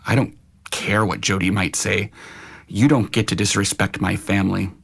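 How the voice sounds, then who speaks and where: A man playing a stern, protective middle-aged uncle in an audio drama, speaking firmly in a measured, admonishing way. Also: fairly low pitch, level tone, gravelly, a bit bright, slightly rough, exaggerated voice. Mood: stern, reproachful.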